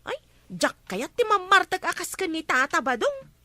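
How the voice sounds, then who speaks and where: A woman's voice: very high voice, very animated, moderate pace, bright, forceful tone, no echo, exaggerated voice. A woman with an Ilocano accent acting a concerned young villager in a comedy drama, fussing with questions and mild chiding.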